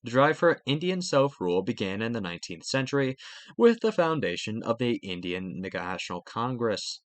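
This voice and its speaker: A man reads a history textbook aloud in a lively, rising-and-falling voice, with an ordinary mid-range pitch. He sometimes trips and restarts a phrase, then turns to the student with a quick aside. The sound is close and dry, with no room echo. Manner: occasional stumbles and restarts. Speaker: textbook reader.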